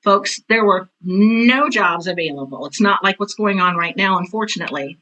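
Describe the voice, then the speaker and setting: Bright-voiced older woman, chatty and emphatic. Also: mid-range voice, normal speed, rough recording. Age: 60s. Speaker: interview guest, retired theater teacher and director. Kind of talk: casual conversational storytelling.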